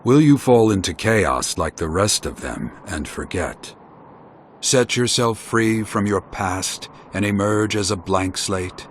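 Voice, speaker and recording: Deep-voiced, solemn old warrior. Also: male voice, lively intonation, a little hiss or hum. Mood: solemn, probing. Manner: measured, formal, archaic phrasing. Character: weary old male warrior, solemn, wise, regretful. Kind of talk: scripted video game voice acting.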